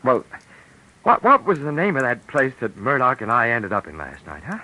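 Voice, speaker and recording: An actor playing a frightened young husband suspected of murder, in 1940s radio drama style, stammering and pleading. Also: male voice, mid-range voice, wildly swooping pitch, moderate pace, bright, forceful tone, slight background noise, full character voice, poor audio. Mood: nervous.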